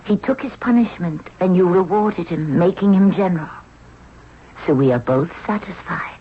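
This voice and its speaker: A woman's voice: on the low side, moderate pace, slightly rough. An actress playing a proud, commanding young queen of ancient Egypt in a 1970s radio drama. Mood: cool, teasing. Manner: haughty, commanding. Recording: dull, noisy old radio broadcast recording.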